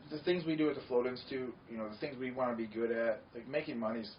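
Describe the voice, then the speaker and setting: A man's voice: mid-range voice, fast. A man with a nasal Michigan accent, chatting earnestly as a podcast guest. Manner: earnest, conversational, frequent agreeing. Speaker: podcast guest, wellness center owner. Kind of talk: casual chat. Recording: distant, dull lo-fi sound with faint noise.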